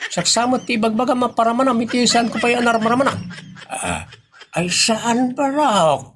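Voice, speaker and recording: A man playing a hot-tempered, stubborn man in a comedy audio drama, with an Ilocano accent and a husky, excitable, stammering delivery. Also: very high-pitched, normal speed, a little hiss or hum. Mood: flustered.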